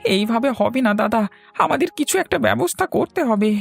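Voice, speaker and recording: A woman's voice: a little high, expressive, normal speed, vocal fry, soft background music. A woman voicing an earnest, loyal younger village sidekick in a cartoon, eager and pleading. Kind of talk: cartoon story voice acting.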